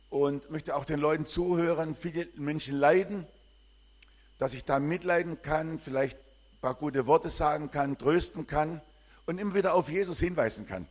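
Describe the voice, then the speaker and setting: Older German missionary, rambling testimony. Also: male voice, on the high side. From southern Germany. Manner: warm, rambling, with frequent hesitations. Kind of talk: church greeting and personal testimony.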